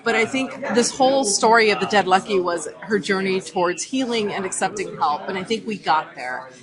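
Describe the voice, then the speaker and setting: Female interview guest, informal and explanatory, medium pitch, with convention crowd chatter around her. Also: lively intonation, a little fast, low-quality recording. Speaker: comic writer, interview guest. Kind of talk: casual interview answers. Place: convention floor, recorded live. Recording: busy convention crowd behind.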